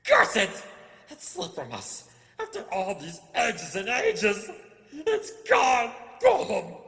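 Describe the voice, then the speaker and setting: A wretched, paranoid storybook creature, hisses in a whispery rasp. It mutters to itself in repeated phrases, swinging wildly in pitch inside a long cavernous reverb. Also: male voice, wildly swooping pitch, slow, fairly bright tone, heavily acted. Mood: enraged, anguished. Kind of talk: storybook character reading. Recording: echoing hall-like reverb.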